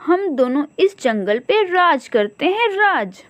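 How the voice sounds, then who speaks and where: A woman's voice: sits high, big pitch swings, slow pace, no room sound, full character voice. A woman voicing a boastful, nagging female crow, a quarrelsome cartoon wife who complains a lot. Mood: boastful, threatening.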